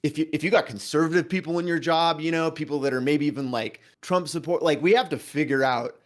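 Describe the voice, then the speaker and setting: A man's voice: fairly high pitch, fast. A man calling in as a guest for a casual, sweary chat, talking earnestly and emphatically in a rambling way.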